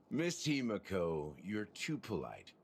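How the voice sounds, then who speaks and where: A man's voice: fairly low pitch, vocal fry, a little hiss or hum, full character voice. A man playing a laid-back, wry, worldly character in a video game, casual, drawling and amused.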